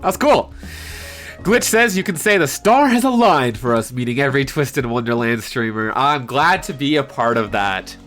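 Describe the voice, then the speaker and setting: A man chatting casually on a live stream in an animated, hesitant way, reading out and answering viewer comments. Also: mid-range voice, normal speed. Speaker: livestreamer and amateur voice actor. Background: piano background music.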